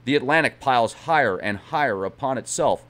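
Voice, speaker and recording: A man's voice: mid-range voice, animated, brisk, a bit bright. A man streaming as he reads a book aloud dramatically, breaking off now and then for chatty digressions to his viewers. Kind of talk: reading a book aloud with casual asides.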